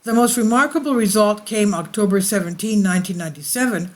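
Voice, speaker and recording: A woman's voice: mid-range voice, quick pace. A woman hosting her channel, reading an article aloud and often stumbling and correcting herself mid-sentence. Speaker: channel host.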